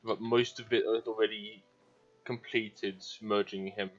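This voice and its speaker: A man's voice: on the low side, level tone, a little slow. A man giving casual playthrough commentary on a video game, in short, offhand, muttered reactions. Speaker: gamer playing and commenting. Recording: dull audio with game music. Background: game music.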